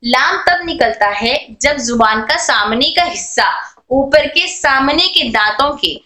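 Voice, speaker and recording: A woman's voice: sits high, lively intonation, a little slow, bright, forceful tone. A woman teaching Quranic letter pronunciation in a lesson, repeating each point and quizzing the listener, mixing English terms into her Hindustani. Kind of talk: explanatory lesson. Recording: echoey room.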